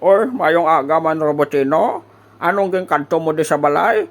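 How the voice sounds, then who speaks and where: A teasing elderly Filipino grandmother, acted by a man, chatters away in a comic audio drama. The voice sits high with a gravelly creak, pinched and full of exclamations. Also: normal speed, close to an everyday voice.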